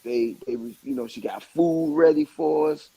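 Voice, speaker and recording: A man chatting casually in an interview, rambling in an animated way and repeating words for emphasis. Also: fairly high pitch, moderate pace, creaky, breathy edge, rough recording. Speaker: stand-up comedian and stage play actor.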